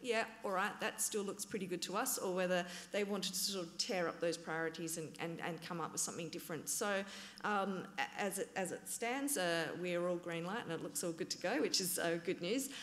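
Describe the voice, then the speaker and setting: A woman giving a conference talk in a relaxed, hedging way, with frequent "um" and "I guess". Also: on the low side, a little fast, echoey room, big-room sound. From Australia. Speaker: conference presenter.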